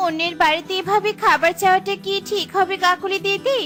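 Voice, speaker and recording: A woman's voice: very high voice, steady tone, brisk, fairly bright tone. A woman voicing a small, honest and brave female bird in a cartoon who speaks earnestly and politely. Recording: with light background music.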